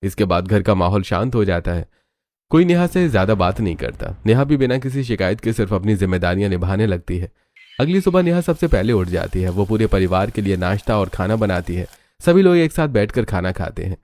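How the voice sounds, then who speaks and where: A man's voice: on the low side, sing-song, a little fast, a little mellow. A man narrating a scripted family drama story in a dramatic storytelling style.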